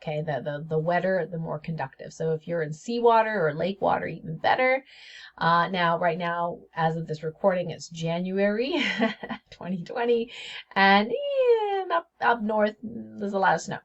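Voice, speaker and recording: A woman hosts her own spiritual wellness video, talking in a loose, chatty way and often laughing between thoughts. Her voice sits fairly low for a woman. The recording sounds dull and lo-fi. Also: lively intonation, moderate pace, gravelly. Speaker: host of a spiritual health video series. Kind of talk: casual solo video talk. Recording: dull lo-fi audio.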